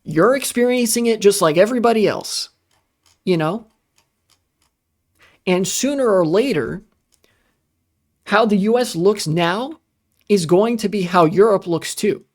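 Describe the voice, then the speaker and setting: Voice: mid-range voice, measured pace, long pauses. A political streamer giving casual commentary in a ranting, profane, sarcastic way. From United States.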